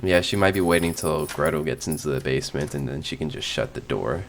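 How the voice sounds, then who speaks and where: A man giving casual, informal reaction commentary, thinking out loud as he watches. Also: very deep voice, steady tone, a little fast, a little hiss or hum. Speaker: reaction video host.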